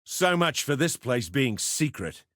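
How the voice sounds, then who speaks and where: An actor playing a gruff, sardonic fighter in a video game, speaking in blunt, colloquial barks. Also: male voice, mid-range voice, big pitch swings, a little slow, fairly bright tone, no room sound, studio quality.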